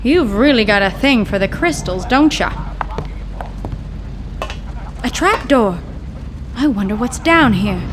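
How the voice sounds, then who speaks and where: A woman's voice: on the high side, expressive, normal speed, background noise, close to an everyday voice. An actress playing a blunt, sarcastic young woman investigating her scientist father's lab, in old-time radio drama style. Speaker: scientist's daughter. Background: music.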